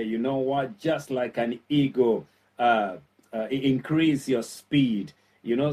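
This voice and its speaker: A man with a Zambian accent giving an earnest, encouraging motivational talk as a pastor, with frequent filled pauses and points repeated for emphasis. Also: medium pitch, darkish tone, far from the mic.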